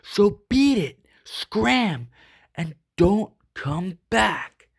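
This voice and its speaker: A man's voice: high voice, animated, drawn-out words, vocal fry, breathy edge, voice pushed up, full character voice. A man voicing a big, tough storybook dog who barks bossy, indignant orders with emphatic delivery. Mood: exasperated, bossy.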